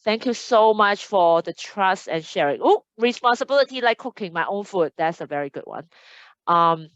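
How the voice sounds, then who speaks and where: A woman's voice: medium pitch, animated, normal speed, no room sound, muffled recording. A woman hosting an online admissions webinar as a casual chat, upbeat and chatty as she reads out audience comments. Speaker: admissions host. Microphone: video call.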